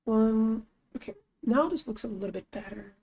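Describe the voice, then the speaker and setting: A young woman streamer chatting casually as she works, thinking aloud, her voice lower than usual today, with bursts of high excitement. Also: normal speed, gravelly. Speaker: streamer and artist. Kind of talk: casual live-stream chat while working.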